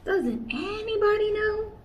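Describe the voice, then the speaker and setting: A woman's voice: extremely high pitch, animated, a little slow. A young adult woman, a children's librarian, reading a picture book aloud to kids in a playful sing-song way, from a small indoor room. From United States. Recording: slightly distant, dull, faint hiss.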